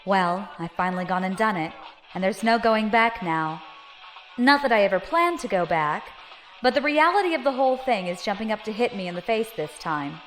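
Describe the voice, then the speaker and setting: Woman acting young space pirate, female, mid-pitched, brash, slangy, casual, determined, audio drama, music bed. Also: animated, moderate pace, fairly bright tone, natural voice, low-quality recording. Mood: resolute, nervous. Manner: slangy, casual buddy talk.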